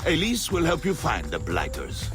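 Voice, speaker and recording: An actor playing a roguish, jovial older swamp smuggler in a video game, hearty and teasing. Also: male voice, on the high side, huge pitch swings, moderate pace, over music. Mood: roguish, amused. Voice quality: raspy, gravelly.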